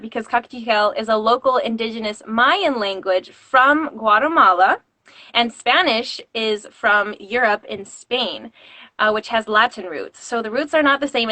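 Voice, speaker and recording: Ballet teacher hosting a livestream, woman, high and bright, dry close sound, laughs a lot. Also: no room sound. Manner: warm, encouraging, laughs often. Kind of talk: live-streamed interview.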